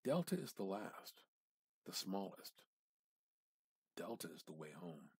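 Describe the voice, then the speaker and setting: A man giving an amateur dramatic reading of literary fiction, theatrical and halting, with pauses and emphatic repetition. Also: low-pitched, sing-song, darkish tone, slightly rough, no room sound. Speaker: amateur reader.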